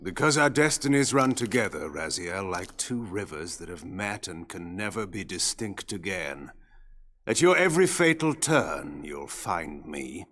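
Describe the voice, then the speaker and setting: An ancient vampire lord in a dramatic game cutscene. He has a low, husky voice that swells and sinks with imperious weight. Every phrase is unhurried, grave and calculating. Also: big pitch swings, a bit bright, heavily acted. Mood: grave, cryptic. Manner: measured, imperious, theatrical delivery.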